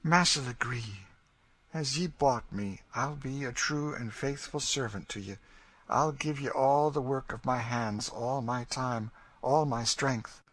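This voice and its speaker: A man voicing a devout, steadfast enslaved man who speaks calmly and earnestly in a plain rural dialect. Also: medium pitch, slow, pitched above the performer's own voice. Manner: calm, earnest, pious.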